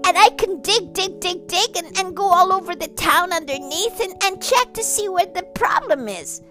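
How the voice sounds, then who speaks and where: A woman voicing an eager little boy who turns into a bunny, stammering and pleading excitedly. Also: very high-pitched, normal speed, faint music behind, pitched above the performer's own voice, full character voice.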